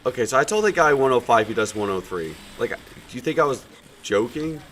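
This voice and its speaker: A man in his thirties with a cold, chatting casually while streaming a game, his normally loud voice sounding muted and subdued, rambling with many fillers. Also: mid-range voice, expressive, fairly quick, a little hiss or hum. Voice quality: loud projecting voice, subdued today. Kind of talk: casual chat while gaming.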